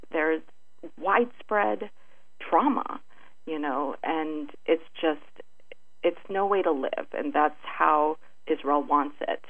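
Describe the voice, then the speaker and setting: Halting woman on a tinny phone line. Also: deep voice, vocal fry, husky. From born in Beirut, Lebanon. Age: middle-aged. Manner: halting, deliberate, searching for words. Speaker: interview guest, writer. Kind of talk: earnest spoken interview answers. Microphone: telephone.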